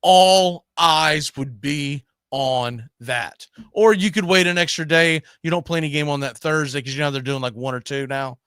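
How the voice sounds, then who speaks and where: A man's voice: a little high, moderate pace, few pauses, fairly bright tone, clean tone, no room sound, studio quality. A man hosting a live sports show, chatting casually about the game.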